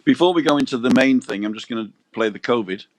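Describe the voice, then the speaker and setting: A man's voice: medium pitch, fast, slight background noise, rough recording. A man co-hosting a casual livestream, chatting and joking as he reads out viewer comments. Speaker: livestream co-host. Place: balcony, outdoors.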